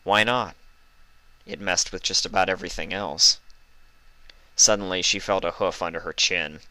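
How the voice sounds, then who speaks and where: A man's voice: low voice, fairly even intonation, normal speed, bright, forceful tone, smooth, dry recording. A man reading a scripted story aloud as a fan fiction narrator, close to the microphone.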